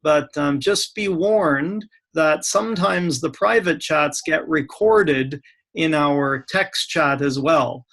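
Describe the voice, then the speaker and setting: A man hosting an online course session speaks in a casual, joking manner. His voice is gravelly with lots of fry and sits slightly high for a man. He comes through a video call. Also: slow pace, a bit bright. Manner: casual, joking about logistics. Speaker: course host.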